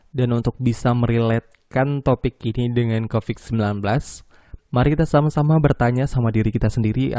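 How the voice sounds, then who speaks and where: Mellow-voiced young man, rambling podcast chat. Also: medium pitch, no echo, studio quality. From Medan, North Sumatra. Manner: rambling, hesitant, with frequent restarts and apologies. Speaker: podcast host. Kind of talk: casual solo podcast monologue.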